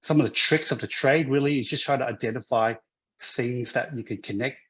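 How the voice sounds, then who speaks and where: Male guest, hesitant, lots of restarts, mid pitch, muffled lo-fi recording. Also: fairly quick, a bit bright. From Hong Kong, raised in Australia. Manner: hesitant, many restarts and fillers. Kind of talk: casual interview conversation.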